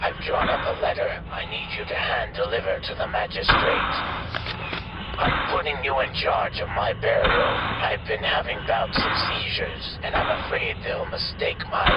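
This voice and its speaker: A man's voice: on the low side, very noisy, lightly acted. A man playing a bitter, strained dying old man. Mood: fearful pleading. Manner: slow, strained, demanding. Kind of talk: old-time radio drama acting. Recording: dull old-radio sound, loud music behind.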